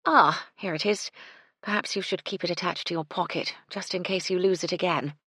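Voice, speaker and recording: A woman voicing a composed, polite female hotel owner with a prim, measured manner, in an audiobook. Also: fairly low pitch, bright, forceful tone, breathy edge, no room sound, clean studio sound. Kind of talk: audiobook character voice.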